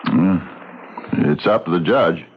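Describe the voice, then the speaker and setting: An actor playing a laconic, knowing frontier lawman in a radio drama, terse and deliberate. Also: low-pitched, husky, heavily acted. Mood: matter-of-fact. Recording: slightly dull radio drama recording.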